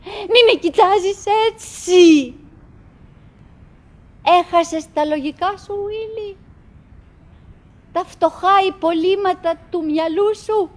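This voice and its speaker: A woman's voice: very high voice, long pauses, darkish tone. An actress playing a tender, wistful, chattering wife who coaxes her silent husband in declamatory stage style. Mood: coaxing. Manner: declamatory, coaxing, with dramatic swings. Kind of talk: theatrical stage acting. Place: theatre stage, live recording.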